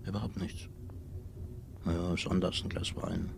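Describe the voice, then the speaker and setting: An actor playing a weary, timid older former cashier in a radio drama, ruminating formally and putting himself down. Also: male voice, sits low, flat delivery, a little slow, gravelly. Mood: bitter, resigned. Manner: ruminating, self-deprecating, formal. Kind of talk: 1950s radio drama acting. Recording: noisy, aged 1950s radio recording.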